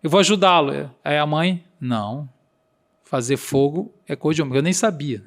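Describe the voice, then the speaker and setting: A man's voice: on the high side, moderate pace. A man with a regional accent, a podcast guest explaining earnestly and acting out quoted dialogue. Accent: Brazilian Portuguese regional accent.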